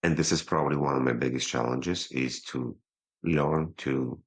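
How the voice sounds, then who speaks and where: A man with a low voice and a non-native English accent, talking as a podcast guest over a video call, quick but pausing to slow himself down. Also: breathy edge. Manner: quick talker who slows himself down, pausing instead of fillers. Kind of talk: casual interview talk.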